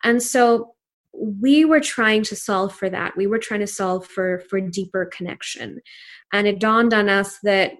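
A woman's voice: medium pitch, a little slow. A woman in her thirties who grew up in Pakistan and speaks Urdu natively, talking as an entrepreneur guest in an interview in an earnest, reflective way with frequent fillers. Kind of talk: casual interview conversation.

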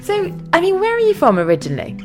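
A woman's voice: very high-pitched, wildly swooping pitch, fast talker. A woman hosting a podcast in a casual, chatty interview style, with a playful, teasing manner. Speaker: podcast host. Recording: music behind the voice.

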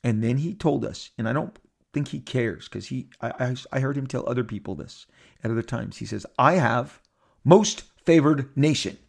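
A man's voice: mid-range voice, normal speed, gravelly. A man in his fifties hosting a podcast, telling a rambling story to his listeners with lots of restarts and quoted asides. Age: 50s. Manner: animated, rambling, repeats and restarts, quotes others in character. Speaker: podcast host. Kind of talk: casual storytelling monologue.

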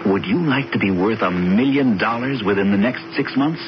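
A man's voice: fairly low pitch, normal speed, close to an everyday voice. An actor playing an assured male schemer in a radio drama, persuasive and commanding. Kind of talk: 1970s radio drama acting. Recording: muffled, noisy old radio recording.

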